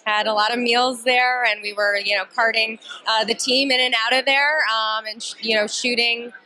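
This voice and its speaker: Woman, 30s, producer, earnest talker, bright tone. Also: a little high, steady tone, normal speed, rough recording. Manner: thoughtful, earnest. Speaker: film producer. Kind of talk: red carpet interview. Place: film festival red carpet, indoors. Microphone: handheld interview mic.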